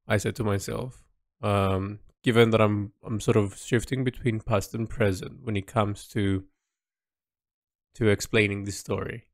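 A man thinking aloud in an audio log about the story he is writing and illustrating, halting and restarting often. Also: on the low side, no echo, studio quality. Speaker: creator discussing his illustrated story project. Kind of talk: casual spoken audio log.

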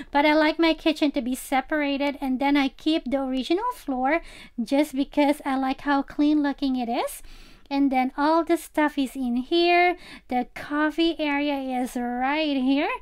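A woman giving a casual, chatty game tour as a channel host, speaking directly to viewers. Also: high-pitched.

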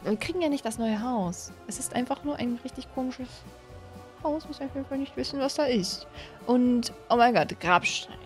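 A woman in her twenties giving casual, excited reaction commentary as a gaming host, exclaiming and repeating herself into a close desk mic. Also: high voice, normal speed, faint music behind. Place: small home streaming room, indoors. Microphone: desk condenser microphone, close.

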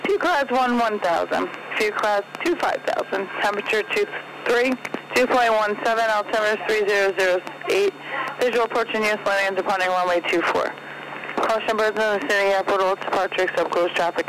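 A woman reads out airport information in a formulaic aviation style. Her voice comes through an aircraft radio. There is clear noise over the broadcast. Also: mid-range voice, brisk. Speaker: airport weather information announcer. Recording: noisy aviation radio in cockpit.

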